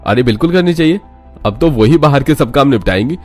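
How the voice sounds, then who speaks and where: A man's voice: medium pitch, lively intonation, fairly quick, darkish tone, soft background music, close to an everyday voice. A man voicing grown brothers in a cartoon story, bossy and matter-of-fact. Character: adult brothers running the household, teasing and stubborn.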